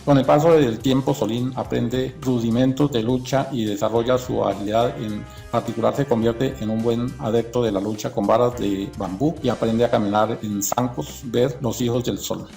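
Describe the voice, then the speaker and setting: A man's voice: mid-range voice, steady tone, moderate pace, gravelly, hardly any pauses, warm tone, faint music behind. A man narrating from a script in an informational documentary style.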